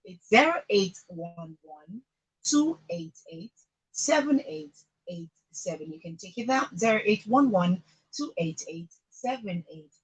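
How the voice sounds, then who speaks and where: A woman's voice: mid-range voice, lively intonation, measured pace, husky, rough recording. A woman with a Nigerian accent hosting a radio show, chatting informally and playfully with emphatic phrasing. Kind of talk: chatty live radio presenting.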